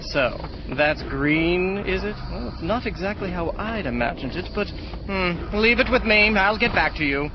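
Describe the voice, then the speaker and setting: An actor playing a busy, impatient senior angel in an audio drama, brusque and officious. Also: male voice, high-pitched, expressive, normal speed. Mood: doubtful. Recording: dull sound over clear background noise.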